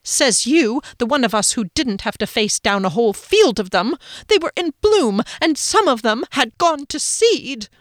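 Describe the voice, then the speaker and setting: Woman doing a whiny scared man, fretful, high whimpering voice, lively swoops, dry close sound. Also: normal speed, no echo, natural voice. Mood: petulant. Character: timid whiny fussy grown man.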